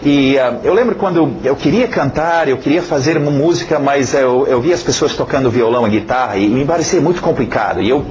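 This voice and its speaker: Animated male teacher. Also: mid-range voice, brisk, few pauses, highs cut off, slight background noise. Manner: animated, repeats words for emphasis, frequent check-in tags. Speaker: English teacher. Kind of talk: informal spoken lesson.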